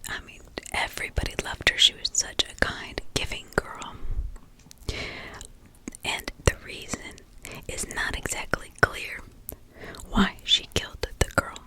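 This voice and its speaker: A woman who is a parent, whispering close to the mic as she retells a crime story, soft, halting and emotional. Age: adult parent. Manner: halting, with many restarts and pauses. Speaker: true crime ASMR storyteller.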